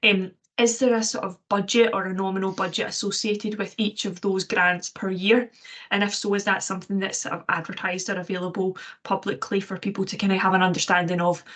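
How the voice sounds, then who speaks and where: A woman's voice: medium pitch, flat delivery, a little fast, a bit bright. A woman asking a question on a webinar, hedging with fillers like um and sort of. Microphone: video call.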